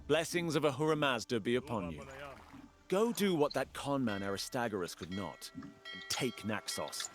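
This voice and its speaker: An actor playing an imperious, approving Persian royal in a historical game, speaking in a formal, stately manner. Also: male voice, mid-range voice, big pitch swings, normal speed, faint music behind, lightly acted.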